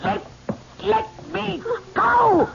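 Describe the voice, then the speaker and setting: An actress playing a solemn, theatrical spirit medium in a 1970s radio drama. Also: female voice, extremely high pitch, lively intonation, extremely slow, vocal fry, full character voice. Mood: panicked, pleading. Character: female spirit medium, solemn, struggling against possessing spirits. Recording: dull noisy old radio drama recording.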